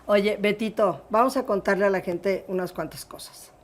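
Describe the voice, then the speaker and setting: A woman's voice: medium pitch, moderate pace. A woman with a Mexican accent hosting an interview, chatty and prompting her guest with "oye" and "¿no?". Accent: Mexican Spanish. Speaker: interview host. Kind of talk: friendly conversational interview.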